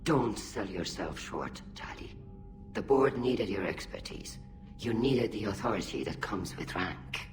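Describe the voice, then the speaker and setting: An actor playing a gruff, supportive male alien colleague in a video game. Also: fairly low pitch, animated, unhurried, over music. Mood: reassuring.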